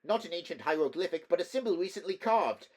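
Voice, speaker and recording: A man's voice: high voice, animated, fast, voice pushed up. A man voicing a frightened male temple clerk whose voice shrills and cracks with panic. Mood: fearful.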